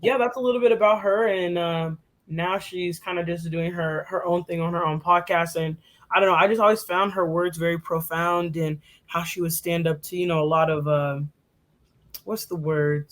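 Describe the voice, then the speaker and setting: A woman's voice: on the low side, steady tone, normal speed. A young woman co-hosting a podcast, giving a casual, chatty rundown full of fillers and restarts. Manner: chatty, filler-heavy, self-correcting. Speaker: podcast co-host. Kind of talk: casual presentation.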